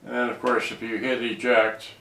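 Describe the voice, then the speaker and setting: A man's voice: mid-range voice, little pitch movement, normal speed. A man giving a casual hands-on product demonstration, rambling and often trailing off mid-sentence, picked up by a distant microphone. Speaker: cassette player restorer presenting his own items. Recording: roomy, distant microphone with background noise.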